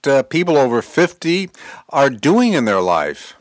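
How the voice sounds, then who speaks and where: Sixties man, friendly podcast host, animated delivery. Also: mid-range voice, a little slow, no room sound. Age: 60s. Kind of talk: podcast interview.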